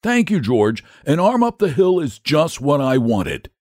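Man putting on a drowsy, self-important storybook dragon, lively pitch swings. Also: measured pace, no room sound, studio quality. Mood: blustering, self-righteous. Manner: murmured, clipped, jovial upper-class slang.

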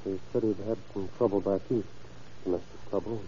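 An actor playing a subdued male convict giving plain, measured testimony in a 1930s radio drama. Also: on the low side, fairly even intonation, warm tone, breathy edge. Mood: subdued, guarded. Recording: dull, muffled old radio recording.

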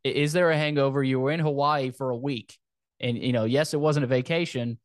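A man hosting a sports podcast, talking casually through a football preview in a halting way, repeating words and phrases. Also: mid-range voice, steady tone, dry recording, studio quality.

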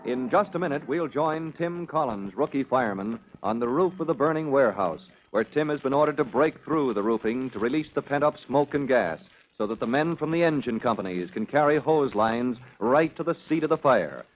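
A man's voice: mid-range voice, expressive, brisk, hardly any pauses, close to an everyday voice. A man narrating an old-time radio drama as an urgent, declamatory announcer. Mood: dramatic, urgent. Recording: dull vintage radio recording, faint noise.

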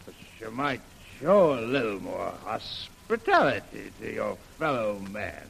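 Husky, slow-drawling drunken drifter. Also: male voice, fairly low pitch, big pitch swings, fairly bright tone, heavily acted. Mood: mock-grand. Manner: groggy, theatrical drawl. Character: drink-sodden male drifter, secretly an army officer, grandly aggrieved. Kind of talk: 1940s radio serial acting. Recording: old radio serial recording.

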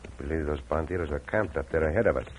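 An actor playing a bold, level-headed Mexican outlaw hero in an old radio western, commanding and formally phrased with some Spanish words. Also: male voice, extremely low pitch, very fast. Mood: cautious. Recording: muffled, noisy old radio recording.

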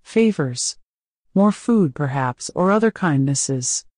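A woman puts on a massive male alien slave keeper for an audiobook, measured and mockingly pleasant with menace underneath. The voice is close and dry, with no room around it. His words come slow and deliberate, savouring his cruelty. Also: low-pitched, expressive, darkish tone, no room sound, exaggerated voice. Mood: menacing. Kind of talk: audiobook character voice.

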